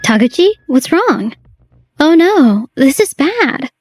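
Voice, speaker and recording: A woman's voice: sits high, big pitch swings, slow, a little mellow, faint music behind, heavily acted. A woman voicing a worried young office coworker in a manga dub, earnest and anxious.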